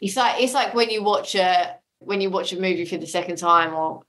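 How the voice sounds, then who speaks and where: Woman podcast guest, slightly low voice, effusive and chatty. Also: brisk, a bit bright. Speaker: podcast guest, audio drama maker. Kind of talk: casual interview chat.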